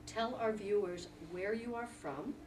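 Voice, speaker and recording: A woman's voice: mid-range voice, measured pace, recorded from a distance, background noise. A woman hosting a group, introducing people and asking them friendly questions. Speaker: group organizer and host.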